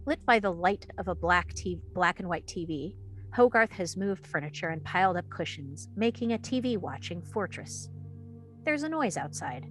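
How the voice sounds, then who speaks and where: A woman's voice: lowish voice, animated, moderate pace, faint music behind. A woman reading stage directions as the narrator of a screenplay table read.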